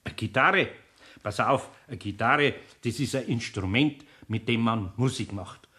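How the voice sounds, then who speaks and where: An actor playing a good-natured older master craftsman in a radio play, with a Bavarian accent and a gently grumbling, hesitant way. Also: mid-range voice, unhurried. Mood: patient, gently grumbling. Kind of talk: German radio play acting.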